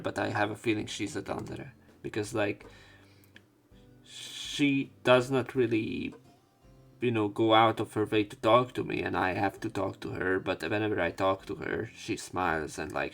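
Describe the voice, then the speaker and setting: A man narrating a game let's play, reading dialogue aloud with casual, halting commentary. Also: fairly low pitch, vocal fry, a bit bright.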